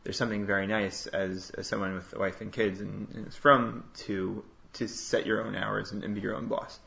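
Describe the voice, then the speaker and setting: A man from Queens, New York, answering interview questions as a startup founder, casually and with halting, self-correcting explanations. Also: on the low side, little pitch movement, gravelly, bright, forceful tone, lo-fi sound. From Queens, New York, United States.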